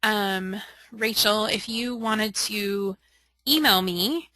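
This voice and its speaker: A woman's voice: medium pitch, slow, sharp tone, dry recording, low-quality recording. A woman leading an informal live training webinar, reading out and answering questions candidly while thinking aloud. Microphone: conference call or webinar audio.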